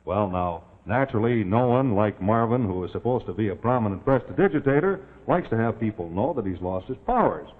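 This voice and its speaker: A man delivering a scripted 1940s sponsor commercial as announcer, with a folksy, emphatic sales delivery. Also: mid-range voice. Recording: muffled, narrow-band 1940s TV broadcast.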